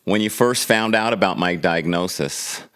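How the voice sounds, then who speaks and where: A man's voice: low-pitched, normal speed, fairly bright tone, smooth. A man in his fifties hosting a candid sit-down talk, earnest and emotional, often repeating words as he searches for them. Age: 50s. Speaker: vlog host.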